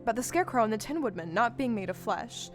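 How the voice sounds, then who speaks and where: High-voiced, animated woman storyteller. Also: fast. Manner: expressive storytelling. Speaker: narrator. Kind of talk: scripted storybook narration in an audio play. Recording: live stage recording with music.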